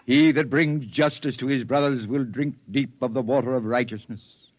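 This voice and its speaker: A man's voice: medium pitch, fairly even intonation, normal speed, close to an everyday voice. A man playing a dying, wild-bearded old prophet with a solemn, biblical way of speaking in a 1950s radio drama. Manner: solemn, biblical preaching cadence.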